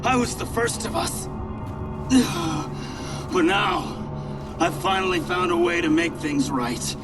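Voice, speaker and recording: A cursed, tormented figure in a video game, mournful and anguished. The voice sits very high and swoops widely, and the lines come slowly. It sounds distant from the mic, with ominous music behind. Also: male voice, huge pitch swings, creaky, full character voice. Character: tormented man cursed and transformed by power.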